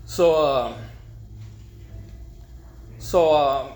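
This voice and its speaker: A middle-aged man gives an informal personal testimony, rambling and haltingly repeating words. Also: fairly high pitch, darkish tone. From East Los Angeles, United States. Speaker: speaker at a recovery meeting. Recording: echoey room with faint noise.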